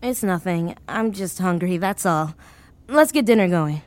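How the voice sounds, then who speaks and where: A woman playing an anxious, grief-stricken young woman in an audio drama, speaking haltingly and repeating words. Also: fairly low pitch, animated, darkish tone. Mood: flat, evasive. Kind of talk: naturalistic audio drama acting.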